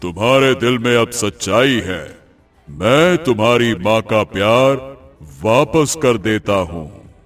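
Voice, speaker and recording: A man's voice: on the low side, lively intonation, slow pace, soft background music. A man voicing a wise, benevolent magical talking tree in a cartoon moral story, with a solemn, grandfatherly manner. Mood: kindly, approving. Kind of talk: animated moral story dubbing.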